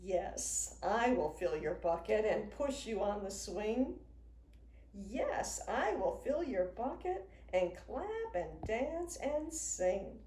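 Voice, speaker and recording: A woman in her sixties, a children's author, reading a picture book aloud to young children in an expressive, sing-song way. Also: mid-range voice, slow pace. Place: indoors in a home room with a wooden desk. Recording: roomy sound with faint noise.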